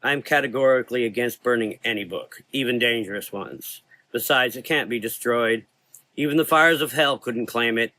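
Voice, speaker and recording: A weary, learned occult hunter in a gothic horror audio play, acted by a man. He is polite, brooding and measured, with an ominous formality. The voice is mid-pitched with a forceful edge and no room sound. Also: no room sound, lightly acted.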